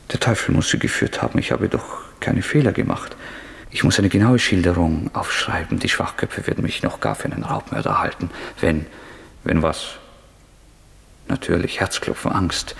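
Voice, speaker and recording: An actor playing a tormented, secretive murderer in a German radio drama, restless and suspicious, halting and breaking into agitated outbursts. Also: male voice, sits low, gravelly, fairly bright tone, full character voice. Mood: agitated, feverish.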